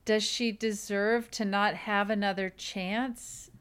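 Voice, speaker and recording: A woman's voice: mid-range voice, steady tone, slow pace, fairly bright tone, smooth. A woman hosting a comedy podcast, chatting casually in a playful, teasing manner. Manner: playful, teasing, mock-defensive. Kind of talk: casual comedic chat about a documentary.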